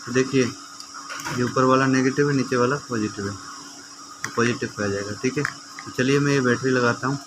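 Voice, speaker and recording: A man's voice: medium pitch, steady tone, brisk, long pauses, background noise. A man presenting an informal how-to demonstration, explaining step by step in a conversational way and addressing viewers like friends. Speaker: repair tutor presenting a how-to video.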